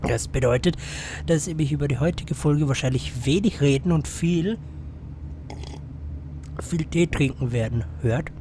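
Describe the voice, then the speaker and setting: A hoarse man hosting a let's play, commentating casually with rambling, mumbled asides, sitting close to the mic. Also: a little high, a little slow, dark tone, a little hiss or hum. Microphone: close to the microphone.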